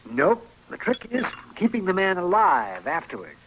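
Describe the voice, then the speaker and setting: A man acting a crusty, talkative frontier doctor in an old-time radio western, musing and teasing in a halting way with an American accent. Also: high-pitched, huge pitch swings, a bit bright, full character voice. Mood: musing, amused. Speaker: radio drama actor. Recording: noisy vintage radio recording.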